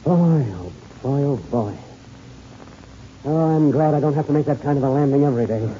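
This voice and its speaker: Man acting a mellow, plucky radio-serial pilot. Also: fairly high pitch, moderate pace, close to an everyday voice. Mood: relieved. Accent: American. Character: steady, plucky man, seasoned adventure pilot. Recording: muffled, noisy old radio recording.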